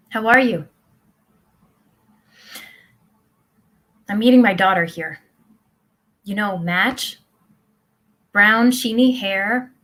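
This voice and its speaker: A woman's voice: mid-range voice, a bit bright. A woman playing a pious, self-congratulating mother who prays aloud in an earnest, rambling, confiding way in a play reading. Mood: chatty, confiding.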